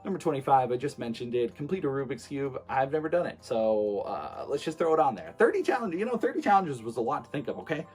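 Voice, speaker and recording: A man in his late twenties, a streamer, talking casually to his viewers in a relaxed, rambling way with many fillers. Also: medium pitch, quick pace, hardly any pauses, slightly rough, soft background music. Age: 20s. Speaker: streamer and vlogger. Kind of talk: casual talk to camera.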